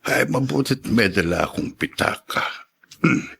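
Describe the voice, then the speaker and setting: A man's voice: mid-range voice, expressive, unhurried, vocal fry, a bit bright, husky, full character voice. An actor playing a patient, tender seventy-year-old widowed father in a Filipino radio drama. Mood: patient, caring.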